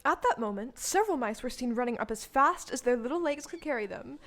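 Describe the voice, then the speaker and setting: High-voiced, animated woman storyteller. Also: a little fast. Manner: expressive storytelling. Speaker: narrator. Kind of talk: scripted storybook narration in an audio play. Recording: live stage recording with music.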